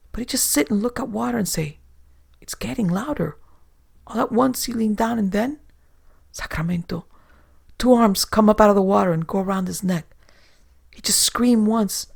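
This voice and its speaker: A big swarthy sailor gasps out broken English in a raised, gravelly, breathy voice, as a narrator puts him on. He stammers and drops into frightened whispers, his words rushed and shaky. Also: medium pitch, pitched above the performer's own voice. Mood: terrified.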